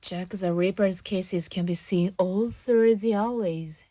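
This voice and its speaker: A woman acts out a naive, earnest young female sleuth. She talks slowly and hesitantly in a fairly high voice. It is a dry, close radio drama recording with music behind. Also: dark tone, no echo. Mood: subdued.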